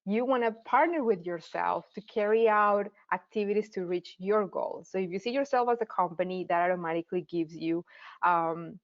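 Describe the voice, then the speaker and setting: A woman in her thirties with a Colombian accent leads a marketing class and gives her listeners direct, encouraging instructions. Her voice sits fairly low. The sound is dry and a bit dull, like a lo-fi recording. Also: moderate pace, no echo. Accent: Colombian Spanish. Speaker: marketing teacher. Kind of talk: online class lecture.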